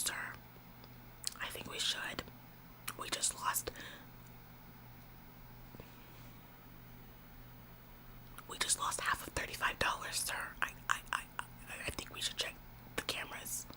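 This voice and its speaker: A woman's voice: close to an everyday voice, rough recording. Whispering, self-important female store employee, acted by a woman. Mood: deferential, eager. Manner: earnest, self-important, stammering repeats. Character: bossy, self-important young female retail employee, tattling and officious. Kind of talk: whispered ASMR roleplay.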